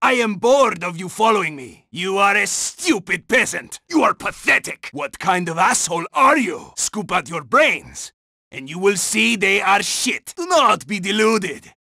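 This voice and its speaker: A video game gunman who thinks himself a king. He is pompous and foul-mouthed, barking contempt in a bright, swooping voice. His delivery is slow and loud, every insult thrown out with arrogance. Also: high-pitched, big pitch swings, creaky, no echo, clean studio sound, full character voice. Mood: contemptuous. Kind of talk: video game combat barks.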